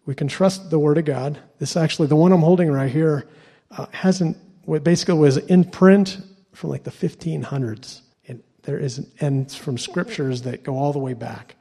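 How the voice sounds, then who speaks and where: A man's voice: on the high side, normal speed, warm tone, breathy edge. A man from Ohio giving a sermon to a church congregation as a guest lay preacher, stumbling and repeating words with lots of fillers. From Ohio, USA.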